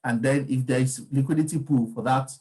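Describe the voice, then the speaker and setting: A man's voice: medium pitch, fairly even intonation, fairly quick, a little mellow. Guy talking crypto markets, casual and rambly, keeps restarting his sentences. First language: Yoruba. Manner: discursive, frequent ums and restarts. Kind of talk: casual explanatory talk.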